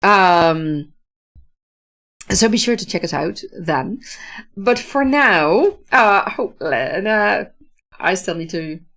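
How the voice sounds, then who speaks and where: A woman's voice: fairly low pitch, expressive, takes it slowly, long pauses, fairly bright tone. A Dutch woman with a Dutch accent, casually hosting a livestream, answering viewer comments in a thoughtful way, with many fillers and restarts. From Netherlands. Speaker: livestream host, author.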